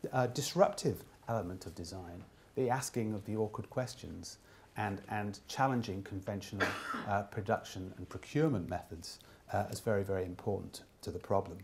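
A man in his fifties delivering a keynote speech, summing up from notes and often halting to repeat words. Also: lowish voice, darkish tone. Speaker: keynote speaker summing up a design conference. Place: indoor room with plain wall.

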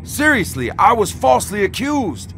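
Cocky convict, higher-pitched and lively. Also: male voice, moderate pace, gravelly, slight background noise, full character voice. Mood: defensive. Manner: brash, slangy, taunting. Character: cocky, needling, slangy convict, self-pitying. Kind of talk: video game dialogue acting.

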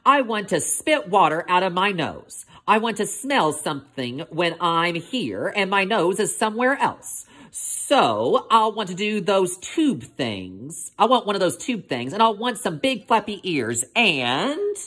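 A woman's voice: mid-range voice, sing-song, unhurried, few pauses, fairly bright tone, breathy edge, voice pushed up, exaggerated voice. Woman voicing a stubborn young hippo, swooping intonation. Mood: determined. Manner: polite but determined, emphatic. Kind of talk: picture-book read-aloud.

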